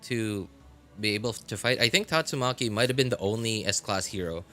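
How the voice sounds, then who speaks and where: A man's voice: lowish voice, normal speed, pushed and bright, faint music behind. A man streaming live, chatting casually and rambling, with many fillers and self-corrections as he answers his chat.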